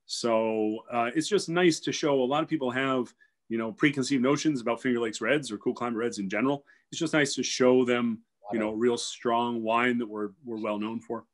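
A man's voice: mid-range voice, normal speed. A man in his forties, a winery sales director, talking casually and explaining things with fillers over a video call from a room at home. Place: home room with shelves, indoors. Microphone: video call webcam.

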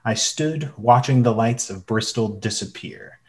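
Radio-play narrator, male actor: medium pitch, reflective, recounting a youthful sea adventure. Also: measured pace, a little mellow, natural voice.

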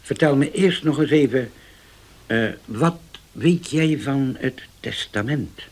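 An actor playing a solemn older uncle in a 1970s radio drama, speaking slowly and deliberately with a husky voice that sinks to near-whispers. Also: male voice, medium pitch, a little mellow. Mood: grave. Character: old uncle, formerly dangerous, now solemn and changed.

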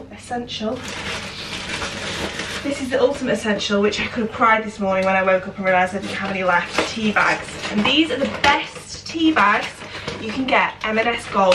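A British woman in her twenties, bunged up with a cold, chatting casually and upbeat as she unpacks shopping and a parcel at home. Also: medium pitch. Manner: chatty, upbeat, thinking aloud. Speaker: fashion vlogger. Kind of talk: casual vlog chat. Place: home kitchen, indoors. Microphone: camera mic from a distance. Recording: echoey kitchen, far from mic, loud noise.

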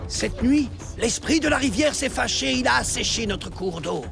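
A man's voice: very high voice, lively intonation, normal speed, creaky, over music, exaggerated voice. A man voicing a solemn, declamatory village clan chief in a French children's cartoon. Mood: grave.

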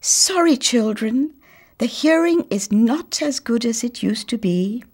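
A woman's voice: fairly high pitch, animated, measured pace, darkish tone, voice pushed up. A woman voicing a proud, genteel old grandmother house in a children's storybook, emphatic and theatrical. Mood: apologetic. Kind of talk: children's storybook read-aloud.

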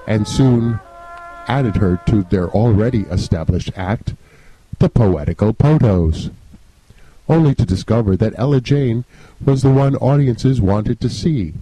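A man's voice: lowish voice, mellow, poor audio. A man reading scripted mock-documentary narration in a formal, grandiose storytelling manner.

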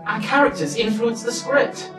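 A man's voice: very high voice, normal speed. An earnest young man played by a male actor, heard from far off in an echoey theatre. He speaks as a defiant lover in a stage drama. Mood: calm, reassuring. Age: young adult. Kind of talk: student-style stage play acting. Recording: echoey theatre stage, distant camera, music.

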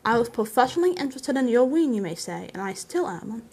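A woman voicing a grave old male wizard, stern and commanding, with a measured, advising manner in a storybook reading. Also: a little high, very animated, a little mellow, slightly rough, full character voice. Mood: grave, firm.

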